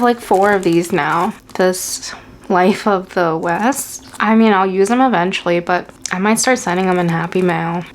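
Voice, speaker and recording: A woman's voice: mid-range voice, a little hiss or hum. A woman hosting a stationery unboxing vlog, talking casually and musing aloud, with a cough drop in her mouth from an ongoing cough. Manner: chatty, musing aloud, light laughs. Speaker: stationery channel host. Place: indoors at home, construction outside.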